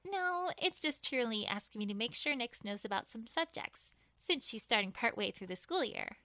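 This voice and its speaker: A woman's voice: a little high, expressive, normal speed, pushed and bright, no echo. A woman voicing a friendly, studious young adult female pony in an audio story, earnest and articulate. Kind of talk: acted audio story dialogue.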